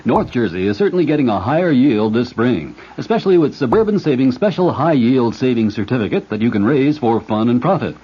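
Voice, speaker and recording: A man reading a scripted radio advertisement in a folksy, measured way. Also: mid-range voice, expressive, mellow, husky. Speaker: commercial announcer. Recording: muffled vintage radio recording.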